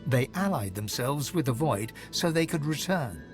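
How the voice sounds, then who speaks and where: A man playing a solemn storyteller who narrates a fantasy game in a measured, dramatic way. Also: medium pitch, lively intonation, soft background music, close to an everyday voice.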